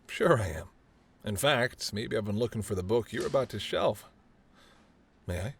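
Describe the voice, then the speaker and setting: A man's voice: fairly low pitch, slight background noise. A man playing a charming, teasing mafia boss who is smitten, speaking intimately and playfully to the listener in a roleplay audio drama. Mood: flirty, playful. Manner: smooth-talking, playful, flirtatious, addresses listener as doll. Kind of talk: intimate second-person roleplay audio acting.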